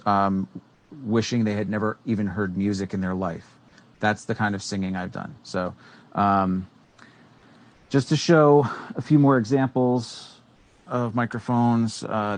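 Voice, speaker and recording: Deep-voiced man explaining microphones casually. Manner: rambling, many fillers and restarts. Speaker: co-host. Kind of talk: casual educational tech talk. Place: small studio room with green screen. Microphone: studio microphone on boom arm.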